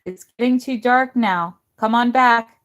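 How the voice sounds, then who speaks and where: A woman voicing an older neighbor woman who calls loudly across a yard for the children. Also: a little high, measured pace, pitched above the performer's own voice. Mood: calling out. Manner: calling loudly from a distance.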